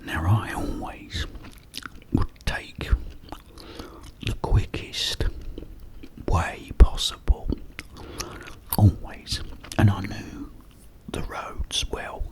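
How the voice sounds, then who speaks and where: A man telling a personal anecdote in a hushed whisper throughout. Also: deep voice, fairly even intonation. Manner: whispering throughout, unhurried, drawn-out storytelling. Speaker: storyteller.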